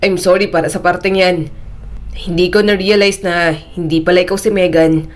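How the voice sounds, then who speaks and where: A woman's voice: steady tone, moderate pace, natural voice. A woman voicing a bewildered but considerate young man in an audio romance story. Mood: earnest, apologetic. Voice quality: deep.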